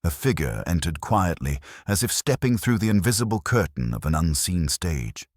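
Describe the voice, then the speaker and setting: A man's voice: low-pitched, very animated, normal speed, no echo, studio quality. A man reading a scripted detective mystery as a dramatic audiobook narrator.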